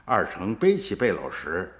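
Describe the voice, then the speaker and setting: Father-narrator: upright, lower-pitched, dramatic first-person storytelling with long reverb. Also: measured pace, heavily acted. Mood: reflective. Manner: storytelling, expressive. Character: upright, watchful father recounting his memories.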